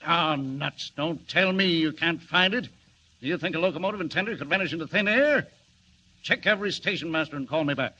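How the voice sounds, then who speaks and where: An actor playing an irritable, harried railroad superintendent with a blustering, abrupt manner, in 1940s radio serial style. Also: male voice, fairly high pitch, fairly quick, sharp tone. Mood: irritated, blustering. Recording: muffled, noisy vintage 1940s radio recording.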